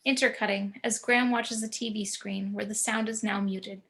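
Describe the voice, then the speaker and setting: Female narrator, mid-pitched, measured delivery of scripted stage directions. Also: steady tone, fairly bright tone. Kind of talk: reading screenplay action descriptions.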